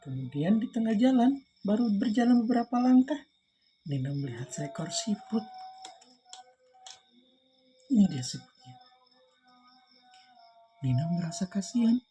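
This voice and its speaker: A woman's voice: medium pitch, big pitch swings, unhurried, pauses often, mellow, faint music behind, poor audio. A woman reading a children's story aloud expressively, engaging young listeners.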